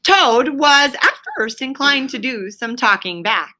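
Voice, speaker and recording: A woman reads a children's story aloud as a teacher would. Her voice is bright and forceful and slightly high, with deliberate, emphatic phrasing and short explanatory asides. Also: lively intonation.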